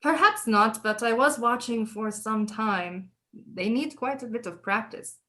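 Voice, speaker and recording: A woman's voice: fairly high pitch, measured pace, bright, forceful tone. A woman playing an imperious, scheming French queen mother, grand and precise, in an audio drama. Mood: crisp, dry. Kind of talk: stage-style audio drama acting.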